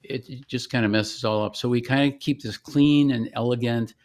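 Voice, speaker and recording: A man with a medium-pitched voice walks through a product on screen. He is informal and conversational, repeating phrases for emphasis as he demonstrates. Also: fairly even intonation, normal speed. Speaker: software founder presenting a product demo.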